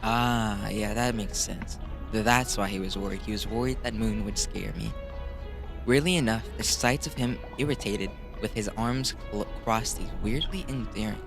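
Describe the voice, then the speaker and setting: A man's voice: lowish voice, normal speed, music in the background. A man narrating a visual novel in a let's play, casually commenting and sometimes stumbling over the text. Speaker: gamer reading a visual novel aloud.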